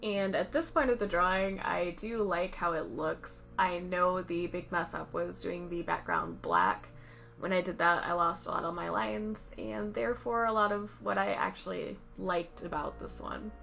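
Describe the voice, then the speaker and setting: A woman talking over a drawing video, slightly raspy from a cold, chatty and self-critical as she explains each step. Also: lowish voice, animated, normal speed, fairly bright tone, soft background music. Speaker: art channel host. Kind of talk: voice-over narration of a drawing process.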